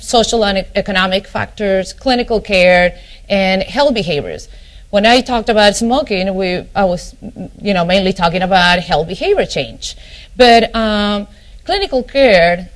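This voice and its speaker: A middle-aged woman from Argentina with an Argentine Spanish accent, a family physician giving a conference keynote, often stopping on ums and "you know" and restarting her phrases. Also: medium pitch. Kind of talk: conference lecture.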